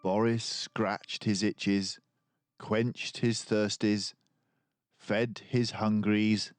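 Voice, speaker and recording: A man reading a children's storybook aloud in an animated, expressive way, acting out the story. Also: lowish voice, very slow, clear, resonant tone, no room sound. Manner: animated, acts out dialogue. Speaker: children's book reader.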